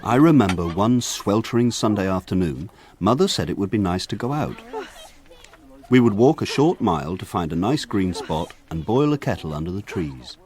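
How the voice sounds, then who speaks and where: An actor playing a grown man narrating his country childhood in a radio drama, in a measured, lyrical, reflective manner. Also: deep voice, lively intonation, a little mellow. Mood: reminiscing, wry. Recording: faint insect buzz behind voice.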